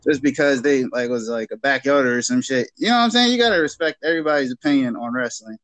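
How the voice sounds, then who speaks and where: A man's voice: medium pitch, animated, fast, a bit bright, dry recording. A man in his thirties chatting casually as a wrestler guest on a podcast, with slangy, sweary informal talk. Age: 30s.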